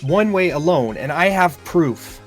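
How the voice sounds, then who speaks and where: A man's voice: fairly high pitch, animated, measured pace, soft background music. A man voicing an earnest young male defense attorney in a game voice-over, emphatic and sardonic. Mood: confident. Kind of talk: improvised video game voice-over.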